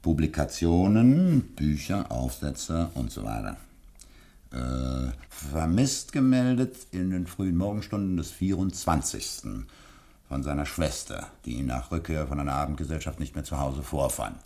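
An actor playing a courteous, businesslike embassy official briefing investigators in a German radio drama. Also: male voice, extremely low pitch, animated, dark tone. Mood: methodical, official. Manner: courteous, measured, reads out files methodically.

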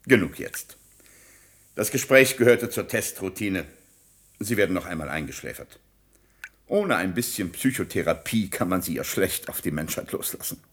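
A brisk scientist in charge, clinical and matter-of-fact. His lowish voice has wide intonation, in the style of sci-fi radio drama. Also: expressive, fairly bright tone. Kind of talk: science fiction radio play acting.

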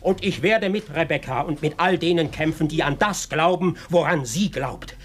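A man acting a high-pitched fiery village preacher. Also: normal speed, slight background noise, close to an everyday voice. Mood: fervent, defiant. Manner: preaching, emphatic, solemn declamation. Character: fiery defiant male village preacher, righteous rebel. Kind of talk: German radio play acting.

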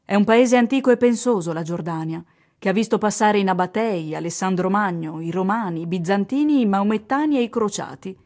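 A woman's voice: medium pitch, brisk, darkish tone. Woman reciting literary travel narration. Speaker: reader in a radio theatre production. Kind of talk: dramatic literary narration, read from a script.

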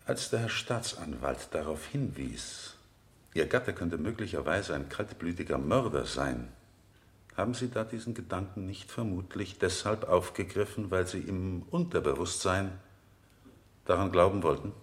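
An actor playing an insistent defense lawyer in a radio play, questioning witnesses in a deliberate, rhetorical way. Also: male voice, sits low, lively intonation. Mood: pressing, insistent. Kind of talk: 1970 German radio play acting.

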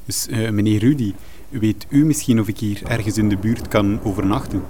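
A man's voice: on the low side, fairly even intonation, normal speed, dark tone, slight background noise, natural voice. A young man with a Flemish accent playing a naive, hesitant reporter in a comic radio play. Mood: polite. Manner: hesitant, bewildered. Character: naive bumbling young male star reporter. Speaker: reporter hero.

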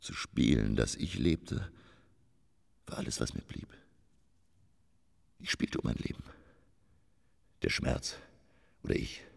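An actor playing a weary, grief-stricken old stage actor in a poetic radio drama, slow, brooding and breathy. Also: male voice, animated, heavily acted. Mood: dark anguish. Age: older. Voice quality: gravelly, breathy low voice. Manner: slow, theatrical, brooding delivery.

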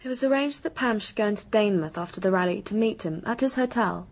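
A woman's voice: medium pitch, fast, darkish tone, natural voice. An actress playing an anxious, earnest English schoolgirl in a radio drama, confiding with an apologetic manner. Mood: regretful.

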